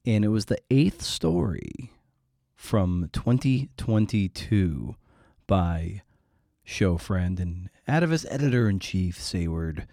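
Irreverent low-voiced man hosting a podcast. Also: big pitch swings, takes it slowly, gravelly, warm tone, no echo, studio quality. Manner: irreverent, playfully theatrical, swears casually. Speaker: podcast host. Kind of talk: casual scripted podcast housekeeping.

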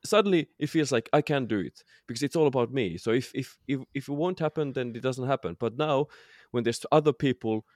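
A man's voice: mid-range voice, a little fast, hardly any pauses, a little mellow, dry recording, clean studio sound. A man co-hosting a podcast in casual chat, hesitant and often restarting his sentences. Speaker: podcast co-host.

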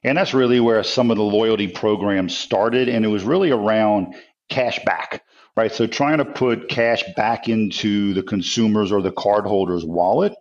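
A man, an interview guest, explaining his work in a relaxed conversational way with many fillers. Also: fairly low pitch, normal speed, lo-fi sound. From New Orleans area, US Gulf Coast. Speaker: guest, tech company executive. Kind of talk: interview answers.

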